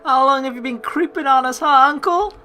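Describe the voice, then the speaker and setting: A woman's voice: high-pitched, a little fast, pushed and bright. A woman hosting a game playthrough, reading the text aloud and adding jokey, rambling casual commentary. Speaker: let's play host. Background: game music.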